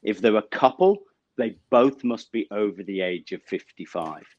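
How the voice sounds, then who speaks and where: A middle-aged British man speaks in a low, measured voice, walking through his answers one step at a time with small fillers. The video call audio is dull and lo-fi, close and dry. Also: expressive, a little mellow, dry recording. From United Kingdom. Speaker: bank representative guest. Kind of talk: explanatory interview answers. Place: office with banner backdrop, indoors.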